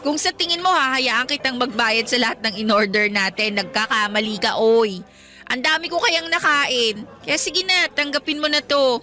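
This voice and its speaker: A woman's voice: sits high, normal speed, pushed and bright. A woman playing a playful, caring young Filipina girlfriend in a radio drama. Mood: playful, insistent.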